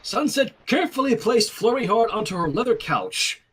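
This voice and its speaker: A man's voice: extremely high pitch, sing-song, normal speed, fairly bright tone, slight background noise. A man reading fan fiction aloud, stopping for wry, casual asides. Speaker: story reader.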